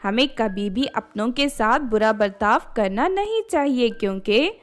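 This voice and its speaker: A woman's voice: a little high, lively intonation, measured pace. A woman storyteller reading scripted narration for a children's moral story.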